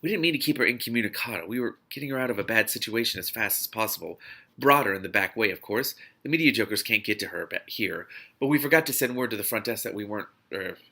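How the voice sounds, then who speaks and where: Man reading as gravelly, placating, evasive male officer. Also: lowish voice, expressive, fast, pushed and bright, heavily acted. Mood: apologetic, evasive. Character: smooth-talking, apologetic male officer covering himself.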